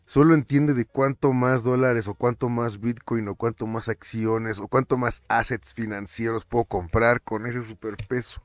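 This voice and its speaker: A young Mexican man with a Mexican accent, talking casually on his finance vlog, animated and often stumbling over and repeating words. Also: medium pitch, normal speed, few pauses, no echo. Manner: animated, chatty, stumbles and repeats words, slips in English terms. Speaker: finance vlogger. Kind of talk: casual commentary over screen captures.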